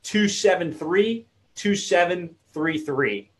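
A man's voice: high voice, lively intonation, slow pace. Man hosting a live webinar. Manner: friendly, reads viewer comments aloud. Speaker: webinar host.

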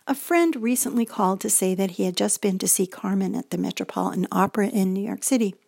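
An older American woman reading a scripted personal story, gentle and reflective, as a feature presenter. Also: medium pitch, fast talker, vocal fry, a little hiss or hum. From United States.

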